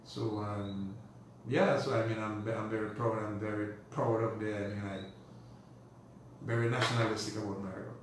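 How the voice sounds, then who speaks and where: Man, Dominican accent, lowish voice, rambling casual interview talk, very echoey room. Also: flat delivery, moderate pace. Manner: rambling, often says "you know". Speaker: interview guest. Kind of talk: casual conversational recollection. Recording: very echoey room, distant mic, noisy.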